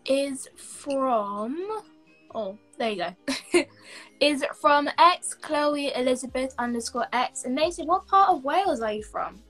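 A woman's voice: sits high, lively intonation, slow, fairly bright tone, soft background music. A young woman hosting a casual video call interview, reading out viewer questions in a bubbly, giggly way. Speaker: host. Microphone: laptop webcam on a video call.

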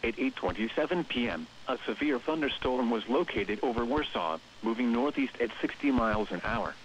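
A synthetic-sounding male announcer reads scripted weather bulletins and warnings in a flat, evenly phrased delivery. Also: mid-range voice, normal speed. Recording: hissy, dull radio broadcast with noise. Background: radio hiss.

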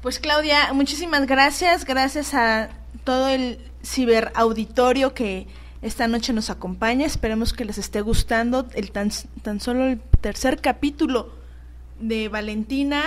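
Higher-pitched Mexican woman, emphatic guest. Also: a little slow. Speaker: interview guest, political activist. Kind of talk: earnest speech-like interview talk.

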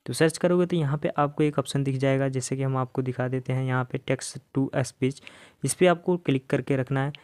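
Casting: male tech tutorial host, Indian accent, casual instructional delivery, mid-pitched voice, dry recording. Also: steady tone, normal speed, a little mellow, no room sound. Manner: addresses viewers directly, instructional. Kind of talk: casual step-by-step phone tutorial narration.

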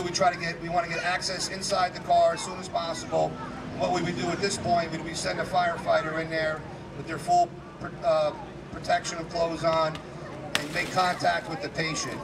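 A man, a fire captain giving a live demonstration talk with a strong, loud voice and many fillers. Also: on the high side. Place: outdoor parking lot. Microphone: handheld microphone to a PA. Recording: distant PA voice, loud outdoor noise.

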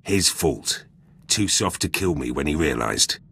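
Deep, husky, gruff soldier. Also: male voice, lively intonation, measured pace, a bit bright, full character voice. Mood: blunt, disgruntled. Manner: clipped, terse sentences. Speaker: soldier companion character. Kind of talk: video game dialogue acting. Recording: music under the voice.

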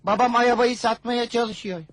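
A man voicing a sarcastic young boy in a Turkish cartoon dub, with a wry, deadpan manner. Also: very high voice, moderate pace, no room sound.

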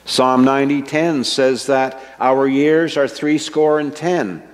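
Older guy preaching at a pulpit, real slow and measured, church echo hanging on. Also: medium pitch, fairly even intonation. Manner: slow, measured and earnest, stressing key words. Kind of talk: sermon. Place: church sanctuary with a stage, indoors. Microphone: microphone at a wooden pulpit, fixed camera. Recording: long church reverb.

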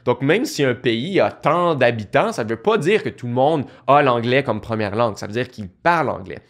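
A young man with a Québécois French accent, explaining in a casual talking-head video from a small home studio, lively and gesturing, restarting phrases as he thinks. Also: medium pitch, normal speed. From Quebec. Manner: animated, gestures a lot, thinks aloud with restarts. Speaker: online course creator. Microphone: studio mic on boom arm beside him.

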